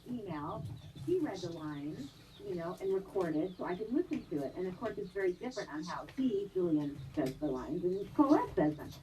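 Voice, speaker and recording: A woman actress being interviewed on a live stream, chatty and animated, laughing often. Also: lowish voice, normal speed. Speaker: guest actress. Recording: distant mic with faint noise.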